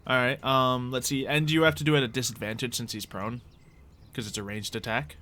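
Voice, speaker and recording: A man's voice: mid-range voice, steady tone, fairly quick, bright, forceful tone. A jovial man who laughs readily, casually narrating a tabletop roleplay as game master.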